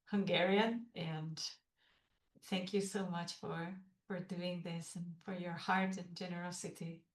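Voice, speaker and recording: A woman's voice: fairly low pitch, roomy sound. A woman hosting a podcast over a video call, talking with many hesitations and fillers. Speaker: podcast host.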